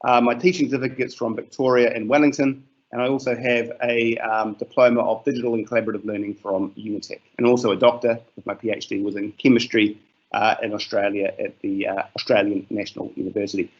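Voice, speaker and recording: Man, new zealand accent, teacher presenting over a video call, relaxed and filler-heavy, muffled audio. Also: lowish voice, quick pace. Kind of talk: casual webinar presentation.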